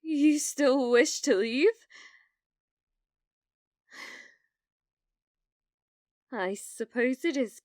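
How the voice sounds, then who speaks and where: A woman performs a delusional young woman who believes she is a demon lord bound to her beloved. Her high voice moves slowly, with theatrical, formal phrasing and obsessive devotion. It is an ASMR roleplay, recorded close and dry. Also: darkish tone, no room sound. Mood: ominous, tender.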